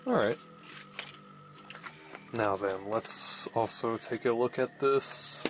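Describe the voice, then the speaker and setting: A man's voice: mid-range voice, slow, pushed and bright, soft background music. A man giving casual unboxing commentary as host, muttering to himself as he handles things. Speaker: host of a game unboxing video.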